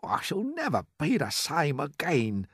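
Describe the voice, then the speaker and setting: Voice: mid-range voice, wildly swooping pitch, unhurried, a bit bright, breathy edge, no room sound, clean studio sound, pitched above the performer's own voice, full character voice. A storyteller voicing a gloomy blind earthworm who complains and expects the worst.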